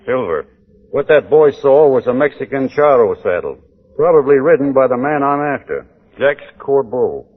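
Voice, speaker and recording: Folksy Texan cowboy, tinny old radio. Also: male voice, medium pitch, sing-song, normal speed. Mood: businesslike. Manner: folksy, hesitant, aw-shucks. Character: folksy Texas Ranger, courtly, stubborn, drawling cowboy. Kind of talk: 1940s radio acting. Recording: noisy, tinny 1940s radio recording.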